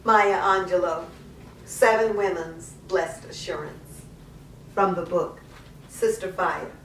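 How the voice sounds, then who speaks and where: A woman's voice: lowish voice, fairly even intonation, takes it slowly, slight background noise. A woman hosting a literary video series, announcing readings and signing off to viewers. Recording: roomy echo, distant microphone.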